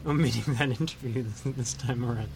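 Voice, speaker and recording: Wry man co-hosting with deadpan quips. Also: medium pitch, fairly quick, creaky, background noise. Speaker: co-host. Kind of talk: casual chat.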